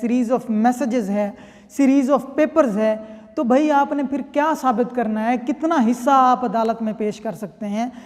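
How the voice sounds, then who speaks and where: A woman's voice: fairly high pitch, dark tone. Woman teaching law in a reverberant hall. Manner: reads quoted text slowly and deliberately, then explains; repeats "theek hai" as a check-in. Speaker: law lecturer. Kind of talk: explaining law articles, reading clauses aloud.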